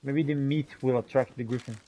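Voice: medium pitch, fairly even intonation, brisk, background noise. A video game player doing in-character roleplay commentary, exclaiming and talking directly to the game's creatures and characters. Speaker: gaming video host roleplaying while playing.

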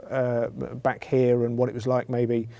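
Male host in his fifties from England, dark, mellow tone, careful and halting, lapel mic in a studio hall. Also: medium pitch, completely flat delivery, creaky. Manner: hesitant, restarts, considered. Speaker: interviewer host. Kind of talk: interview. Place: studio hall with a grand piano.

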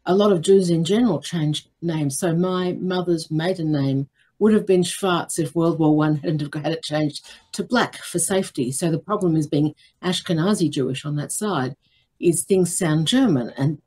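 A woman's voice: lowish voice, mellow, husky. An older woman from Australia explaining things steadily on a video call from a room with bookshelves. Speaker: panelist. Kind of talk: video call panel discussion.

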